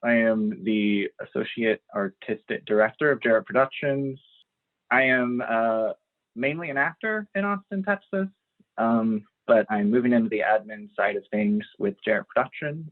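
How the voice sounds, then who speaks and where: Mid-pitched man on phone-like call. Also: normal speed. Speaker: actor and producer, panel guest. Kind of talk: casual self-introduction. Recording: narrow phone-like video call audio.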